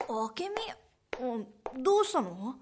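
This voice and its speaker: An actress as a high-voiced, sheepish party-loving young woman. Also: sing-song, a little slow, noisy background. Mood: surprised, grateful. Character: cheerful, sheepish young woman who drinks too much. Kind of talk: amateur radio drama acting.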